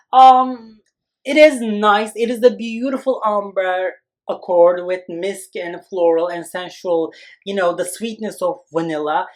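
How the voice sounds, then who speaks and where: Chatty man reviewing fragrances. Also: high-pitched. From Turkey. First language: Turkish. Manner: chatty and expressive, many "you know" and "like" fillers. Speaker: fragrance reviewer, channel host. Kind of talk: casual review talk to camera.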